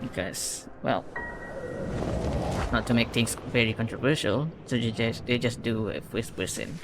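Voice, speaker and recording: A man's voice: mid-range voice, a little slow, noisy background. A man giving casual reaction commentary on game trailers, rambling and repeating words for emphasis. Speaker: reaction video host. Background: music from the trailer.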